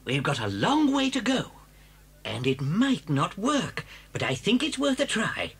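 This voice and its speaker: A clever, gentle father fox in a children's story tape. His husky voice rises and falls freely, cautious but full of hope for his family. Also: high-pitched, wildly swooping pitch, a little slow, exaggerated voice. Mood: determined, tender. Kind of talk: children's audio story dramatisation.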